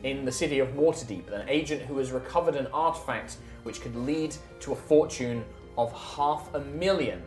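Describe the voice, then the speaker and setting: A man's voice: medium pitch, moderate pace, few pauses, reverberant, faint music behind. A man running a tabletop game, recapping the story in a chatty, rambling way with lots of fillers. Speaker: game master.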